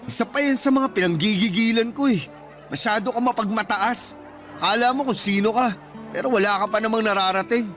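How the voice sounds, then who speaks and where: High-voiced, indignant older brother. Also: male voice, a little fast, sharp tone. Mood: irritated. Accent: Filipino. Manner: emotional, wounded, indignant. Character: resentful, hard-pressed older brother in his thirties, family breadwinner. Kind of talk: Filipino radio drama acting. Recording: music bed under the voice.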